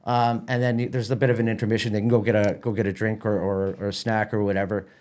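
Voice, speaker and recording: A man with a Canadian accent chatting casually as an interview guest, explaining things in a halting, rambling way with repeated words. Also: fairly low pitch, level tone, darkish tone. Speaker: festival organiser and stage manager, podcast guest.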